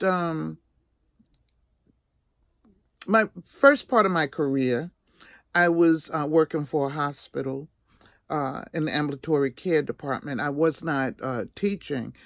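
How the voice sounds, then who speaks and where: A woman in her sixties telling a personal story in a casual, chatty vlog style, animated and emphatic, often repeating herself for effect. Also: low-pitched, gravelly, dry recording, studio quality. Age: 60s.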